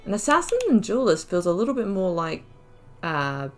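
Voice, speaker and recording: A woman's voice: mid-range voice, big pitch swings, normal speed, faint music behind. A woman giving casual let's play commentary, chatty and musing, thinking aloud as she plays. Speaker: gaming YouTuber playing through a role-playing game.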